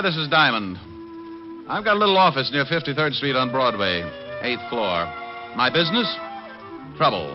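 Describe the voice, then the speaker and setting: A man's voice: medium pitch, close to an everyday voice. A man acting a wry, breezy New York private detective in a 1940s radio drama, wisecracking as he goes. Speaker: lead actor and narrator. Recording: muffled vintage radio broadcast with music.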